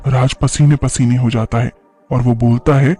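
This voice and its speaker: A man's voice: mid-range voice, steady tone, moderate pace, mellow, faint music behind. A man narrating a scripted horror story.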